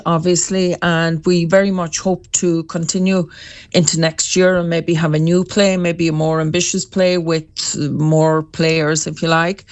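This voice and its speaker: A woman's voice: on the low side, clean tone, no echo. A woman with an Irish accent, a drama group founder, chatting enthusiastically in a radio interview with some fillers.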